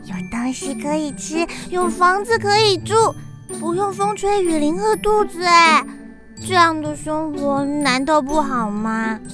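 A woman's voice: sits high, measured pace, over music. A woman playing a sweet, naive, optimistic youngest sister in a children's radio drama. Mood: gentle, contented. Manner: innocent, earnest, childlike.

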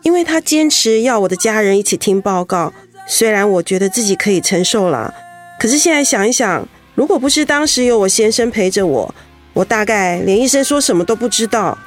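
A woman's voice: medium pitch, animated, a little fast, faint music behind, close to an everyday voice. An actress playing a young married woman, a composed but anxious patient, in a radio drama. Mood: reflective. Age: young adult.

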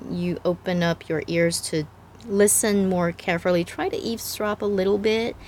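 Chatty Taiwanese-raised woman, lowish voice. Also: fairly even intonation, normal speed, darkish tone, a little hiss or hum. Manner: explains patiently, often repeats and restarts words. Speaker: podcast host and language exchange partner. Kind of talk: casual conversational chat.

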